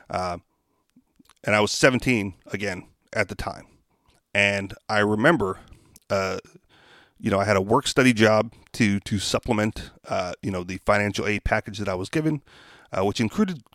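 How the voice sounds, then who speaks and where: Low-voiced man, dry close mic. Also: no echo. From Hawaii. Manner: reads haltingly with many uhs, then rambles with fillers. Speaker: podcast host. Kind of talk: reading news aloud then casual commentary.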